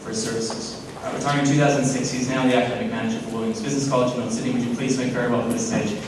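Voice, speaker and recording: A man's voice: medium pitch, little pitch movement, rapid-fire. A man with a Hong Kong accent hosting a conference, hesitant with many fillers and self-deprecating jokes. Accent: Hong Kong English. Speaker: conference host. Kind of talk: emcee introductions with jokes. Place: conference hall. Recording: echoey hall, distant mic, noise.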